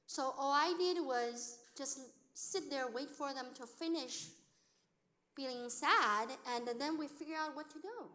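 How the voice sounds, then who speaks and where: A woman's voice: sits high, measured pace. A middle-aged woman whose first language is Chinese, telling personal stories in a talk to an audience, acting out what people said in simple, non-native English. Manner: lively storyteller who acts out quoted speech, in non-native grammar. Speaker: accountant and business owner speaking to an audience.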